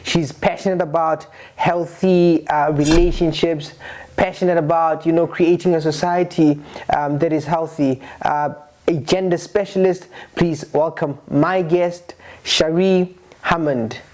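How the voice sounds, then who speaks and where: A man's voice: on the high side, steady tone, darkish tone. A man hosting an interview podcast as a psychotherapist, talking casually with many restarts and fillers. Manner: halting, repeats words, frequent fillers and restarts.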